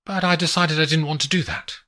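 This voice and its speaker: A man voicing a calm, composed young woman with a faint foreign lilt, reading a thriller audiobook. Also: fairly high pitch, fast, voice pushed up. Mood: confessing. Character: calm young woman, a cool former assassin. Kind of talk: audiobook character voice.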